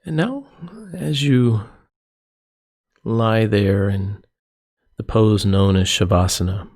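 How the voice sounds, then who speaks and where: A man leading a guided meditation as a yoga nidra teacher, giving gentle, soothing, unhurried instructions with pauses between phrases. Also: on the low side.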